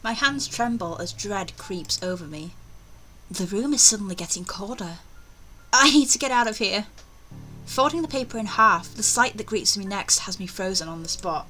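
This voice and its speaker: Gamer woman reading a visual novel out loud, expressive, bright sharp voice, faint music under it. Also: medium pitch, normal speed, rough recording. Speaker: gaming video host. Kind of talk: dramatic read-aloud with casual commentary.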